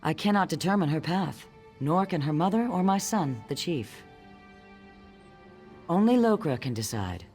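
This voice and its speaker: An actress playing a wise old orc grandmother in a video game, speaking as a deliberate, sage clan elder. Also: lowish voice, animated, a little mellow, faint music behind. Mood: sage, solemn. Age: older. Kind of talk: video game dialogue acting.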